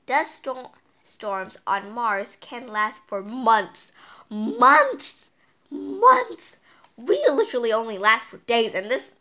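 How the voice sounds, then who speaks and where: Slow, halting storytime reader, a high-voiced woman. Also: bright, forceful tone. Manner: halting reading, stumbling over words; playful, exclaiming. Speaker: storytime host reading aloud. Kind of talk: reading a picture book aloud with chatty asides.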